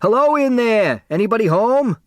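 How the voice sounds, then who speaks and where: A man voicing an exuberant, clownish older delivery man who yells boisterously in a storybook. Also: sits high, expressive, normal speed, no room sound, studio quality, pitched above the performer's own voice. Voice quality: cackling. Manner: yelling boisterously.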